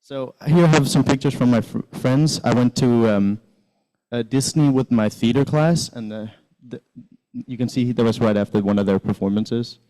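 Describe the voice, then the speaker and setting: A man's voice: medium pitch, moderate pace, warm tone, low-quality recording. A teenage man from Sweden giving a casual, rambling talk about his exchange year, full of fillers and restarts. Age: teens. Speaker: exchange student presenter. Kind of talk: casual presentation with slides.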